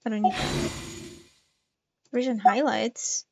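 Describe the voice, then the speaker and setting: A woman's voice: fairly high pitch, steady tone, slow pace, gravelly, dry recording. A young adult woman chatting casually as she plays on a gaming livestream. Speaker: game streamer. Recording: loud game music behind voice. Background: loud video game music.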